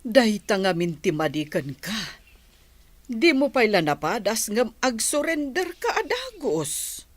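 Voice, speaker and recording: A woman's voice: a little high, very animated, slow pace, no room sound, exaggerated voice. Radio-play mother, patient and admonishing, taking her time, recorded close and dry. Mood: gently scolding. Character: middle-aged mother, patient and gently admonishing. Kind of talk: audio drama acting.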